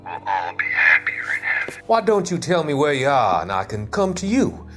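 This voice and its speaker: An actor playing a middle-aged, manipulative doctor in a game, measured and persuasive on a telephone call. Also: male voice, mid-range voice, wildly swooping pitch, sharp tone, soft background music. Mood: persuasive, solemn. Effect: telephone conversation.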